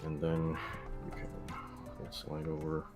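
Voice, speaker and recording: A man's voice: very deep voice, completely flat delivery, slow, music in the background. A man streaming a casual hobby session, talking loosely to his chat with lots of fillers. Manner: laid-back, rambling with many fillers.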